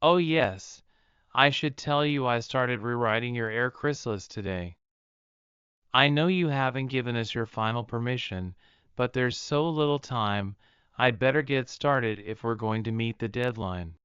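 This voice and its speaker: Man acting a very smooth, earnest man. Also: mid-range voice, a little slow, a bit bright, no echo, muffled recording, lightly acted. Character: polite, mild, earnest male aspiring writer. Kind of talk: audiobook drama acting.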